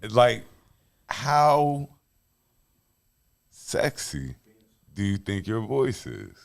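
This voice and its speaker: A man hosting a casual podcast, laid-back, laughing often and dropping his voice low for effect. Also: very animated, very slow, gravelly. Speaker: podcast host. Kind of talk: casual chat.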